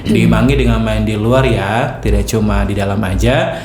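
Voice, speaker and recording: A man's voice: fairly low pitch, moderate pace, a little mellow. A man hosting a casual live radio talk show in speech, often checking in with guests and repeating their answers back. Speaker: radio host. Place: radio studio. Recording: roomy echoing studio, faint background noise.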